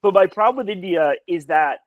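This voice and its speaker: A man whose first language is Polish, telling animated travel stories in casual chat over a video call. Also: high-pitched, a little fast, no echo, muffled recording. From United States. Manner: animated storyteller, many restarts.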